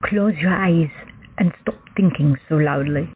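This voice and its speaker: A woman as a gentle, wistful young artist. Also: low-pitched, a little slow, breathy edge, dry recording, heavily acted. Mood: tender, wistful. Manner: gentle, hesitant. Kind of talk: audio drama acting. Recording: dry, tinny phone-like sound.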